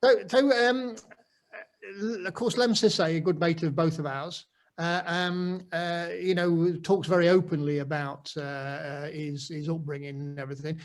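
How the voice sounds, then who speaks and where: Brief: middle-aged man, Nottingham accent, interviewer, hesitant and rambling, fairly high voice. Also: steady tone. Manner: halting, full of ums and restarts. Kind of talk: casual conversation.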